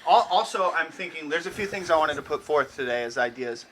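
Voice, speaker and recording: A man's voice: a little high, animated, fairly quick, pushed and bright, a little hiss or hum, low-quality recording. A man hosting a casual podcast, bantering in a crude, slangy, jokey way. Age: around thirty. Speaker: podcast host.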